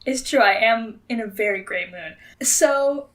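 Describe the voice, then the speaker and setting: Young woman bantering on a podcast, high pitched, giggly n sweary, sounds a bit far from the mic. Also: measured pace. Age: young adult. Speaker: podcast co-host. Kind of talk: casual comedic banter.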